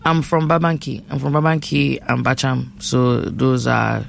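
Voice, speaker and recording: A Cameroonian singer with a Cameroonian accent, chatting easily as an interview guest and laughing often. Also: male voice, medium pitch, moderate pace, creaky, a bit bright, slight background noise. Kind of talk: casual interview chat.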